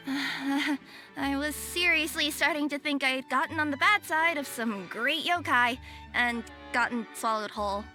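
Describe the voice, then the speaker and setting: A bubbly young traveler in an animated game style. The voice is extremely high and bright, expressive and easily amazed. The pace is unhurried, with faint music underneath. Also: female voice. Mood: worried, chatty. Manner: expressive, excitable.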